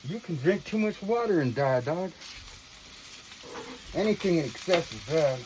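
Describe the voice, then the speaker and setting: Female vlogger, low register, reflective and earnest, salty slang, sizzling noise behind the voice. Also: animated, a little slow. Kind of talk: casual philosophical monologue to viewers.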